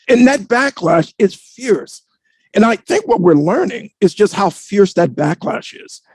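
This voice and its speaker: A man speaking as a reporter on a discussion panel, reflective, with deliberate, halting, measured phrasing. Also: a little high, creaky, darkish tone, husky, no room sound.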